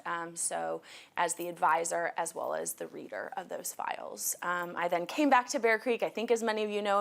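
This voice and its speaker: A woman's voice: lowish voice, vocal fry. A young woman in her twenties, a college counselor, giving a friendly, organized school presentation to students and parents, wearing a mic, with frequent fillers. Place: school meeting room.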